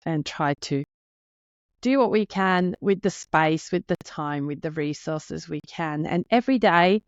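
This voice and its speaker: Finnish-accented woman in her thirties, lowish voice, sincere and a little nervous solo podcast host, dry muffled recording. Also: a little slow, no room sound. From Finland. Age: 30s. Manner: earnest, openly nervous, heartfelt.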